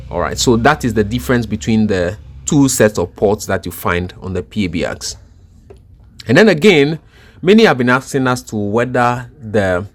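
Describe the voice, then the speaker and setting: Male tech instructor, lowish voice, explains step by step without a script, restating key points. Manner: methodical, repeats points for emphasis. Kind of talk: explanatory tech tutorial, unscripted.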